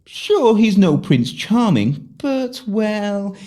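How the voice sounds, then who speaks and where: A woman's voice: medium pitch, big pitch swings, extremely slow, dark tone. A woman playing a vain, gold-digging stepsister with a haughty, affected manner in an audio pantomime. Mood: giddy.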